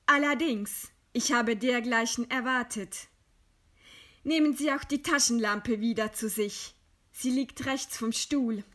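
A woman playing a composed, resigned writer in a radio play, speaking calmly and with formal courtesy. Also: a little high, takes it slowly. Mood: composed, courteous. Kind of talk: read radio play dialogue.

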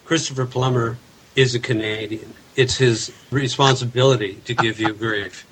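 A man's voice: medium pitch, fairly even intonation, moderate pace, noisy background. A man casually chatting and putting questions to guests as a podcast panel host. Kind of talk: casual discussion.

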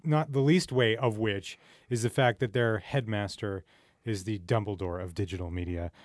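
A man's voice: on the low side, fairly even intonation, normal speed, no room sound, studio quality. A man co-hosting a podcast, talking in a wry, sardonic, emphatic way. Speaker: podcast co-host. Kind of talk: scripted opinion monologue and casual podcast chat.

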